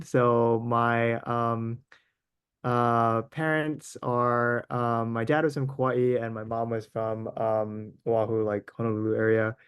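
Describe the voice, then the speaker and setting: A man in his thirties from Hawaii, giving a casual lecture over a video call, hesitant with many pauses and fillers. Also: fairly low pitch, clean tone, dry recording. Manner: hesitant, many ums and restarts. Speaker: guest lecturer.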